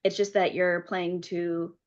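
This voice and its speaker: A woman's voice: on the low side, level tone, slow pace. A woman actor talking casually in a group discussion. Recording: slightly dull, lo-fi audio.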